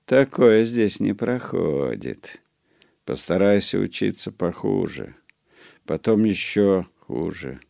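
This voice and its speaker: A man voicing a worldly legless war veteran who gives dry, conspiratorial warnings, in a slow, low, gravelly voice. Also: a little mellow, no room sound, exaggerated voice.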